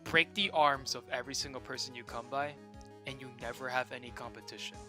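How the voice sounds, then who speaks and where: A young man streaming a video game, joking and excitable, swinging between loud outbursts and low muttering. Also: a little fast, music in the background. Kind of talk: casual gameplay commentary.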